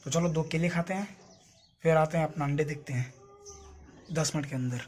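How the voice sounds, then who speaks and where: A man's voice: a little high, normal speed, darkish tone. A young adult man chatting casually and informally to his viewers, as a vlogger talking into a phone. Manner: informal, addresses viewers as friends. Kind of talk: casual vlog chat to camera. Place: in and around a home, indoors and outdoors. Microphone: phone at arm's length. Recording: noisy handheld phone recording.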